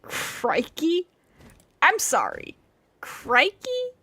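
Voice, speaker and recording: High-voiced, playful woman game streamer. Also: wildly swooping pitch, drawn-out words, pushed and bright. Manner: playful, wry. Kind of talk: casual live gameplay commentary, reading dialogue aloud. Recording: game music behind the voice.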